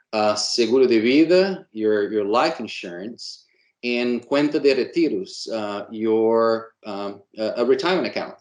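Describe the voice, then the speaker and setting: A man's voice: mid-range voice, a little mellow, echoey room. A man from Brazil whose first language is Portuguese, an insurance agent answering interview questions with many fillers and restarts, speaking with a Brazilian Portuguese accent.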